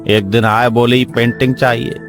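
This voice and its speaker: A man's voice: mid-range voice, flat delivery, moderate pace, music in the background, lightly acted. A man voicing a rustic, superstitious, gossipy male seller in an audio story, speaking in a folksy, colloquial way. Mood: ominous gossip. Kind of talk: dramatic audio story acting.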